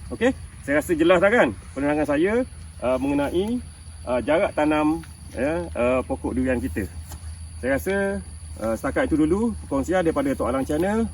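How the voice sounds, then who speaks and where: A man's voice: fairly high pitch, lively intonation, normal speed, a little mellow, far from the mic, a little hiss or hum. A man in his fifties with a Malaysian Malay accent, chatting casually to viewers about his orchard as a farming channel host, laughing often and checking that they follow. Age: 50s. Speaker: durian farmer and channel host. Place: outdoors in a durian orchard.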